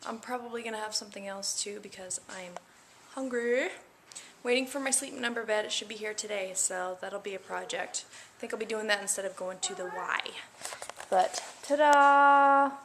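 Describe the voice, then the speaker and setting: A woman's voice: medium pitch, moderate pace, fairly bright tone, a little hiss or hum. Matter-of-fact woman giving a daily update. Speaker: vlogger and mother. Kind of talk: casual diary vlog.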